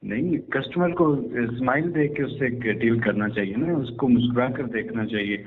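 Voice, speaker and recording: A man's voice: medium pitch, normal speed. A man in his forties with a Pakistani accent, politely and repeatedly explaining himself in a casual phone conversation. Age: 40s. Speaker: mobile top-up shopkeeper. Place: small shop, over the phone. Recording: tinny phone line with background noise.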